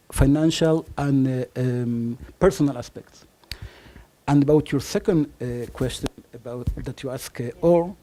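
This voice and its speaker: Startup founder, forties, Israeli-Spanish accent, mellow tone, a bit high for a man, halting delivery. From Argentina. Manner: halting, many fillers, self-joking. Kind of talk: conference pitch.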